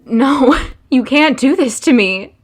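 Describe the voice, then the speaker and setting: A woman's voice: high-pitched, lively intonation, takes it slowly. A young woman acting in an audio drama as a heartbroken, emotional girlfriend pleading with her boyfriend. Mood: desperate, pleading.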